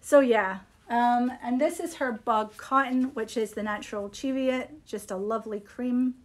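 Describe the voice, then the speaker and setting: Higher-pitched woman, chatty presenter. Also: a little slow. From Scotland. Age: middle-aged. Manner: chatty, unscripted, appreciative. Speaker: yarn shop host presenting products. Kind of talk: casual live product showcase. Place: small indoor room. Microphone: phone at arm's length.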